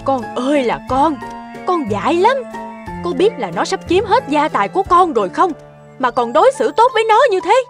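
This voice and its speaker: An actress playing a greedy, domineering middle-aged stepmother in an animated folk tale, with a sharp, scolding manner. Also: sits high, normal speed, faint music behind. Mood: exasperated.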